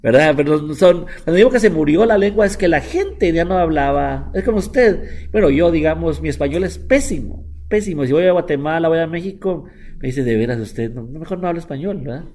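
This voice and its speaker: A middle-aged man teaching a relaxed Bible class as a rabbi, talking in a chatty, repetitive way and slipping English words into his Spanish. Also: fairly high pitch, brisk, a little hiss or hum. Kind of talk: informal Bible lesson.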